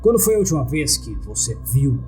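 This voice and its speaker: A calm Brazilian paramedic played by a male narrator asks careful questions and reassures in a horror tale. The voice is mid-pitched and mellow over faint music. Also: brisk, natural voice. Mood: concerned. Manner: reassuring, inquisitive.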